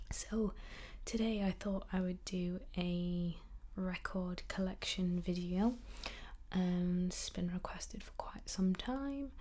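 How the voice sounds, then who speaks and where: A young woman whispering in a soft-spoken ASMR chat, slightly croaky while getting over an illness, with frequent ums. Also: fairly low pitch, slow pace. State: recovering from illness, at times croaky. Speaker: ASMR creator.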